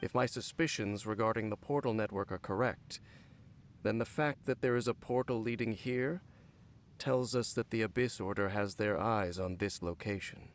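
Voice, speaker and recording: An actor playing a brooding, centuries-old cursed former guard captain in a video game, speaking in a formal, measured, solemn way. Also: male voice, fairly low pitch, slight background noise, natural voice. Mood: grave, guarded. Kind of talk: video game character dialogue. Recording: game audio with background music. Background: game music.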